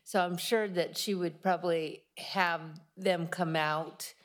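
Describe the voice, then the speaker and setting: A woman's voice: lowish voice, steady tone, takes it slowly. A woman on a review committee, reading out details from a document in a casual meeting.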